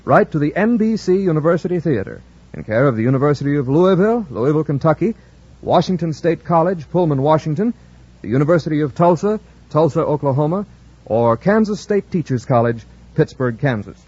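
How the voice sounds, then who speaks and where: A man's voice: on the high side, lively intonation, brisk, a little mellow, slightly rough. An American man reading a formal, scripted announcement in the style of 1940s radio. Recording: dull vintage radio recording.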